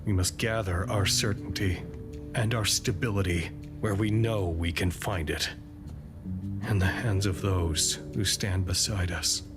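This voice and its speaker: A man acting in an audio drama as a resolute, hopeful leader making a formal, measured, earnest address. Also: low-pitched, fairly even intonation. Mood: impassioned, solemn. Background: music.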